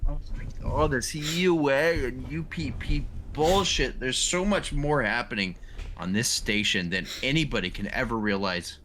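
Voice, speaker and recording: A man's voice: medium pitch, moderate pace, bright, forceful tone, a little hiss or hum. A man playing a tabletop game, speaking in character as a suspicious sergeant.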